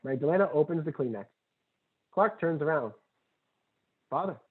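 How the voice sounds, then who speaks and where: A man's voice: mid-range voice, fairly quick. A man reading screenplay stage directions as scripted narration at a table read. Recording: tinny phone-like audio.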